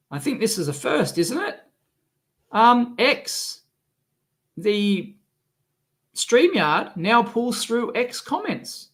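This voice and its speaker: A man with a cold talks loosely on a live stream, his rough, sick-sounding voice swinging widely in pitch. He is exasperated and grumbling, and he swears freely at what annoys him. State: has a cold, coughing. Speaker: electronics video host. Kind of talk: casual live stream chat.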